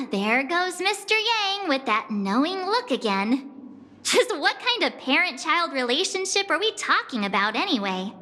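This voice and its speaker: A woman's voice: extremely high pitch, big pitch swings, unhurried, pushed and bright, exaggerated voice. An actress playing a perky, teasing young girl adventurer in a video game, casual, sassy and easily flustered. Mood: teasing, curious.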